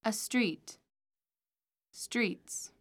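A woman's voice: on the high side, drawn-out words. A woman reading model dialogues and repeated words for a language course, articulating each one carefully. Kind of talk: scripted model dialogues and drills.

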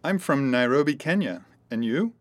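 A woman reading model dialogues and word drills for a language course, with careful, clear articulation. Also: a bass voice, expressive, normal speed.

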